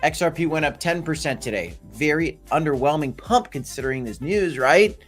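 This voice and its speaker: A man hosting a casual crypto livestream, hoarse and losing his voice, joking and repeating himself while talking to his chat. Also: on the high side, animated, moderate pace, fairly bright tone, soft background music. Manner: jokey, repeats phrases, talks to chat. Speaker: crypto show host.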